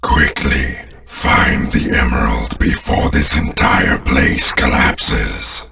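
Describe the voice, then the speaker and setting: Video game villain: imperious alien warlord, extremely low register, forceful and biting, menacing rasp, commanding and contemptuous. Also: male voice, distant mic, full character voice. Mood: watchful, instructing. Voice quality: deep, gravelly, menacing rasp. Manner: pompous, commanding, slow and deliberate.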